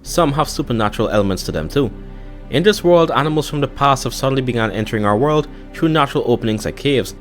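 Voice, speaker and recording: A man narrates at a quick clip, with music playing underneath. His delivery is a scripted explainer with a casual, conversational turn of phrase, sitting at an average male pitch. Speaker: narrator of a YouTube explainer channel. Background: background music.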